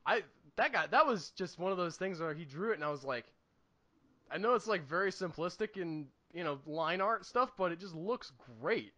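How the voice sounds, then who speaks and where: A man's voice: high-pitched, lively intonation, moderate pace, bright, forceful tone, no room sound, muffled recording. A man in his late twenties chatting casually as a podcast co-host, with a joking, self-deprecating manner. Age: late 20s.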